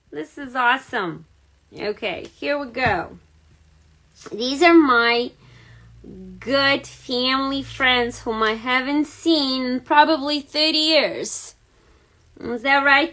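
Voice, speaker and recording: A Serbian-accented woman hosts a relaxed craft livestream, talking unhurriedly in a slightly high voice. She chats to viewers by name, filling pauses with frequent ums and uhs. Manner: chatty, greets viewers by name, many fillers. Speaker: card-making host. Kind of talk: casual crafting livestream chat. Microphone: phone or camera on a livestream.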